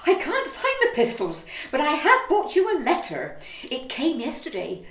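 Casting: actress as blithe landlady for Victorian farce, high and animated, heard in an echoey room. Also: normal speed, full character voice. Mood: chatty. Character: breezy, scatterbrained Victorian London landlady. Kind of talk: Victorian farce audio play acting. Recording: echoey room, distant mic.

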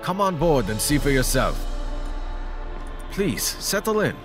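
An actor playing an eccentric, enthusiastic scientist inventor in a video game, theatrical and explanatory. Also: male voice, a little high, big pitch swings, measured pace. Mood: eager. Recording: loud music under the dialogue.

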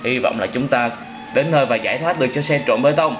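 A man's voice: medium pitch, fast, dull audio, noisy background. A young man gives simple, exclamatory, repetitive commentary on a vehicle game for children. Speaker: host of a children's gaming channel.

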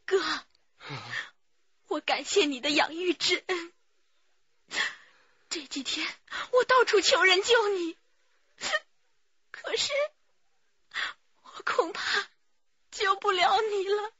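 An actress playing a grieving, devoted younger sister who pleads tearfully in a radio drama. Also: female voice, very high voice, takes it slowly, pauses often, pushed and bright, dry recording, highs cut off, heavily acted. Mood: anguished, tearful. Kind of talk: Chinese radio drama acting.

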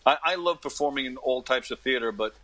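A middle-aged man giving casual interview answers in a halting, jokey manner. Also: mid-range voice, bright, forceful tone. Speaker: podcast guest, comedic actor and performer.

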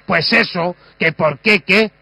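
A man's voice: high voice, slow, gravelly, sharp tone, dry recording. A man with an accent from Spain making a prank phone call, pressing on with muddled, insistent questions. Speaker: prank caller.

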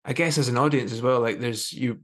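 Fast-talking man hosting a podcast. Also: mid-range voice, a bit bright. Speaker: podcast host. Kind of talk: casual interviewing. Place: indoor room, on a video call.

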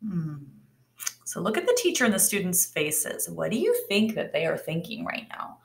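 A woman's voice: lowish voice, moderate pace, creaky. A woman, reading a picture book aloud and putting questions to children in a teacherly way. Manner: expressive, teacherly. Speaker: read-aloud storyteller. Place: kitchen or dining area at home, indoors. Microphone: laptop or webcam at arm's length. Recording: roomy home webcam sound.

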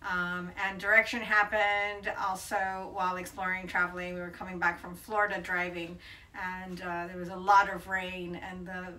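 A woman's voice: fairly low pitch, little pitch movement, normal speed, sharp tone, distant mic, low-quality recording. A woman in her forties from Colombia, answering interview questions thoughtfully with frequent hesitations. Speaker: interviewed photographer. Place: bright room by a window, indoors.

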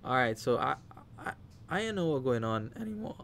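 Excitable Trinidadian man commentating a game. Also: mid-range voice, brisk, creaky, slight background noise. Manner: animated, talks to the players, shouts when excited.

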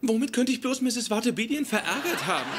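An actor playing a pedantic, socially oblivious young physicist in a sitcom dub, with precise, formal wording. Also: male voice, very high voice, very animated, fairly quick. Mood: oblivious, matter-of-fact. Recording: noisy sitcom soundtrack with ambience.

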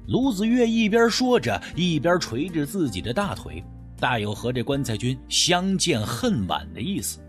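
A man narrating a scripted mystery audiobook in the first person, with dramatic, expressive storytelling. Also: fairly high pitch, moderate pace, faint music behind.

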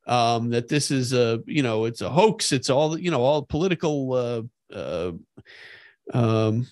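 A man co-hosting a podcast, discussing history conversationally in a halting, repetitive way. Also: medium pitch, animated, a little mellow, no room sound, studio quality. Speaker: podcast co-host.

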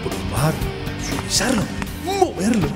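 Casting: laid-back young cartoon player, rhythmic and lilting, loud music underneath. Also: male voice, high voice, wildly swooping pitch, slow, full character voice. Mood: focused, rhythmic. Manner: sing-song, rhythmic. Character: young, easygoing, rhythm-loving male football player.

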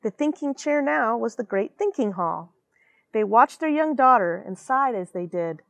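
A woman's voice: on the high side, animated, normal speed, gravelly, a bit bright, no room sound. An American woman in her forties reading a children's storybook expressively, with dramatic emphasis and friendly asides to young listeners. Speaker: astronaut reading a children's picture book. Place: small equipment-filled space station airlock.

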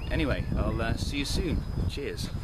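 A man's voice: mid-range voice, lively intonation, moderate pace, heavy background noise. A man, chatting to a handheld camera at a beer festival, a little drunk and full of ums and restarts. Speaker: beer vlogger. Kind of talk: casual rambling vlog to camera. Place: beer festival and pub, outdoors and indoors. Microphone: handheld camera at arm's length. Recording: loud crowd chatter behind.

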